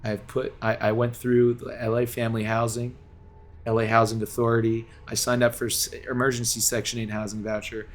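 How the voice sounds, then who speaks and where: A man's voice: medium pitch, monotone, brisk, soft background music. A game streamer from Los Angeles talks to his viewers in a long, earnest, rambling monologue full of restarts and fillers, speaking from home.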